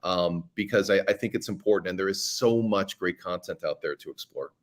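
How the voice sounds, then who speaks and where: A middle-aged man speaks in a fairly low voice, answering earnestly as a podcast guest. He stumbles and restarts as he works out his points. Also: a little fast. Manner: earnest, with frequent restarts and repeated words. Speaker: fiction podcast creator and guest. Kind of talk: conversational interview answers.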